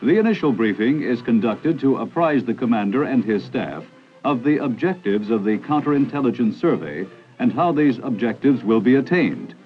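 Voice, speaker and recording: A man narrates a mid-century educational film in a mid-pitched, authoritative voice. His scripted delivery is formal and clipped through lists. The soundtrack is old and muffled. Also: expressive, normal speed, faint music behind.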